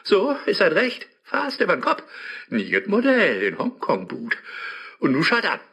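Radio play: a sneering devil in an app, high-pitched, slow and swooping. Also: male voice, wildly swooping pitch, vocal fry, pushed and bright, slightly rough, exaggerated voice. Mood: scheming. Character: sly scheming male devil living in a phone app. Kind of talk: rhymed radio play acting.

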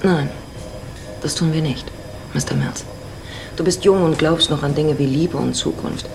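A woman's voice: sits low, unhurried, creaky. An actress playing a worldly, flirtatious married woman in her forties, sardonic and self-assured, in a radio drama. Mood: knowing, bittersweet. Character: worldly, teasing 45-year-old married Mexican woman.

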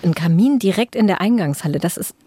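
A woman's voice: lowish voice, lively intonation, fast, mellow, slightly rough, dry recording. A woman co-hosting a podcast, narrating casually and chatting. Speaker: podcast co-host.

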